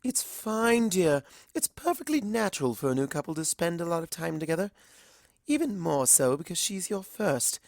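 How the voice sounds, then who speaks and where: A woman's voice: lowish voice, very animated, moderate pace, vocal fry, a little mellow, dry recording, clean studio sound, voice pushed up. A ladylike cartoon unicorn mare, voiced by a woman reader. She speaks in a raised, lilting register, genteel and effusive, full of romance. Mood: dreamy, reassuring.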